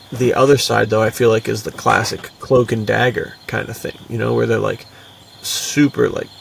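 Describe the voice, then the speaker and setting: Male podcast co-host, very creaky voice, bro-ish casual banter, slightly distant mic with faint noise. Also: mid-range voice. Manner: slangy, bro-ish, full of "dude" and "like". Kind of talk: casual, enthusiastic chat.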